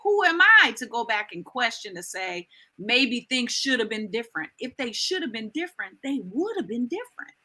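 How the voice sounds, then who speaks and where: A woman in her late forties co-hosting a casual live talk show. Her voice is strikingly bright and sits a little high. She talks emphatically, hammering points home by repeating her phrases. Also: sing-song, moderate pace. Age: 40s. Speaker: co-host. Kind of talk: casual chat.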